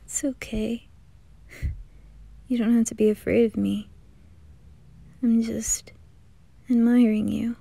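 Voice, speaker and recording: Woman playing a mellow, tender boy in love. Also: on the high side, flat delivery, takes it slowly, long pauses, no room sound, exaggerated voice. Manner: gentle, breathy, often near whispering. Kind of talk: amateur romantic ASMR roleplay.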